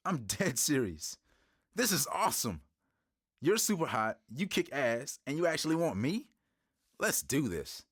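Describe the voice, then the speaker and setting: A man's voice: on the high side, big pitch swings, measured pace, fairly bright tone, husky, no room sound, studio quality, full character voice. A man playing a nervous, nerdy young banker who turns gushing and eager. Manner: flustered, then gushing.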